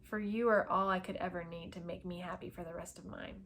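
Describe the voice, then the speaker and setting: Woman acting as gentleman suitor, storybook romance, tender, earnest, formal, lovestruck, soft-spoken delivery. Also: lowish voice, steady tone, brisk, pitched below the performer's own voice. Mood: adoring, pleading.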